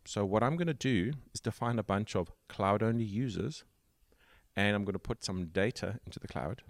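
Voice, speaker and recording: Man, South African accent, podcast host, very low voice, dry close sound, unhurried explaining. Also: dry recording. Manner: explains at length with pauses and fillers. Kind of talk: casual tech podcast chat.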